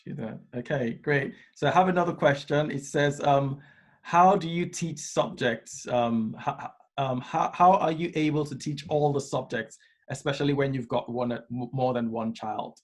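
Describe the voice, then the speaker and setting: A man's voice: medium pitch, moderate pace. Guy hosting an online q&a, medium voice, kinda hesitant reading out viewer questions. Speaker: session host.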